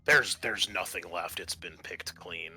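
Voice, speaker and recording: A man working as game master, narrating a roleplaying session in a halting, conversational way. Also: deep voice, sharp tone, slightly rough.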